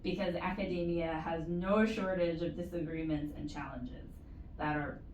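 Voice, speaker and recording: A woman giving measured, explanatory advice as an interview guest, in a conversational way with stretched emphasis. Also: lowish voice, fairly even intonation, darkish tone. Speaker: interview guest, university postdoctoral support staff. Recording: roomy echo, distant mic, faint noise.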